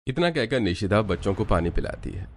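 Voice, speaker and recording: A man's voice: on the low side, sing-song, fairly quick. A man reading scripted story narration for a cartoon.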